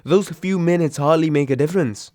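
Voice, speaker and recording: A man's voice: on the high side, fairly quick, a little mellow, dry recording, clean studio sound, close to an everyday voice. A young man acting a grumpy, sarcastic but secretly caring roommate woken from sleep, grumbling and sighing in an intimate roleplay audio drama. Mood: alarmed, exasperated. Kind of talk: intimate roleplay audio drama, one side of a phone call.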